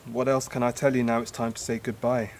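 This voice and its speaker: An actor in an audio drama playing a wistful, idealistic man who speaks reflectively and haltingly, as if making a speech. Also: medium pitch, little pitch movement, a little mellow, slight background noise, lightly acted. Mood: reflective, hopeful. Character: wistful departing man, hopeful philosophising idealist, father. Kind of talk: naturalistic audio drama acting.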